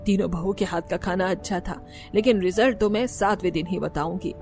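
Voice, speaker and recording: Woman voicing firm middle-aged cartoon mother-in-law, measured, faint music behind. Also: lowish voice, a little mellow, lightly acted. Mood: stern. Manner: firm, authoritative. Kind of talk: cartoon voice acting.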